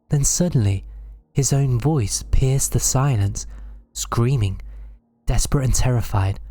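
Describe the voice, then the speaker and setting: A man narrating scripted horror stories in a measured, dramatic storytelling manner. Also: mid-range voice, mellow.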